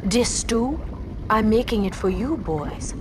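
A woman playing a mysterious, stern and secretive young swamp girl in a cartoon video game, with measured, cryptic, formal phrasing. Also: medium pitch, animated, slight background noise. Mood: coy. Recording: background music under dialogue.

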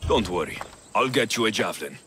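Bright, confident mercenary warrior. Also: male voice, lowish voice, big pitch swings, brisk, slight background noise. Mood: wry. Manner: dry, self-assured. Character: wry, confident male mercenary warrior of ancient Greece. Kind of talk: video game character acting.

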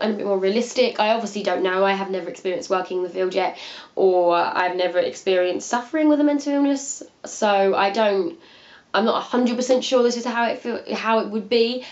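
A woman's voice: mid-range voice, darkish tone, lo-fi sound. Chatty young woman with a sniffly cold. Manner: rambling, chatty, many fillers and restarts. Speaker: book reviewer. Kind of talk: casual book review. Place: living room by a window seat, indoors.

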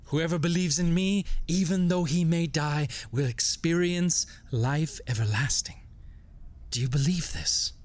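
A man voicing a calm, sorrowful male spiritual teacher who speaks softly, at times in a whisper, in a narrated Bible story. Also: on the high side, unhurried, voice pushed up, close to an everyday voice. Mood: solemn. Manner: soft, sometimes whispered.

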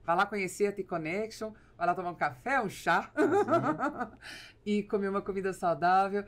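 Brazilian woman hosting, mid-pitched voice. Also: animated, unhurried. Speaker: podcast host. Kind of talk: conversational podcast hosting. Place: small foam-padded podcast studio. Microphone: studio microphone on boom arm, close.

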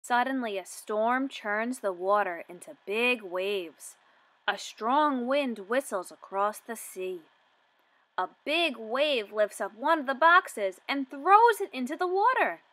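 A young woman reads a children's story aloud in a fairly high voice that swoops up and down expressively. She speaks deliberately, putting questions to her little listeners, and takes her time. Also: animated, a bit bright. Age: 20s. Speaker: children's teacher reading aloud. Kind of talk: picture-book read-aloud for young children.

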